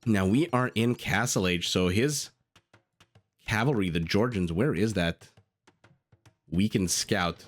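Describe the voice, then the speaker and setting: Male game caster, low-ish raspy voice, excitable and chatty with asides, close on a desktop condenser mic. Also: slow pace, long pauses, faint music behind. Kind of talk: strategy game match commentary. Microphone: desktop USB condenser mic.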